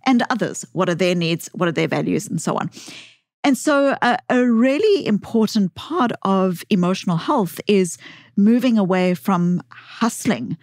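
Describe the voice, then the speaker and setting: A woman's voice: medium pitch, expressive, unhurried, darkish tone, no room sound, studio quality. A woman from South Africa with a South African accent, a psychologist guest speaking thoughtfully and sometimes stumbling over her words. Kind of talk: casual podcast conversation.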